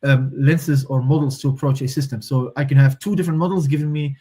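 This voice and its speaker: A man's voice: mid-range voice, steady tone, fast talker, mellow, breathy edge. A man from Morocco, a native speaker of Moroccan Arabic and French, talking as an interview guest, thoughtful, often restarting and searching for words. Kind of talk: conversational interview.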